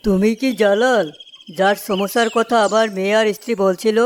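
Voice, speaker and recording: A woman's voice: mid-range voice, fairly even intonation, a little fast, a little hiss or hum, lightly acted. A woman voicing a wealthy, commanding father in a cartoon story. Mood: commanding, approving.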